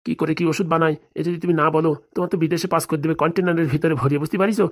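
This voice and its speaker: Casting: female performer for plotting, resentful village husband in cartoon, low register, breakneck delivery, confiding. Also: steady tone, racing, darkish tone. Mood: urgent. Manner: confiding, insistent, urgent. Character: resentful henpecked husband, furtive, plotting against his wife. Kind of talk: comedy cartoon dialogue.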